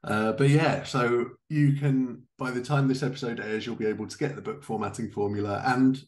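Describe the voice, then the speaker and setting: A man's voice: mid-range voice, steady tone, moderate pace, roomy sound. A man in his thirties hosting a casual interview podcast over a video call from his living room. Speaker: podcast host.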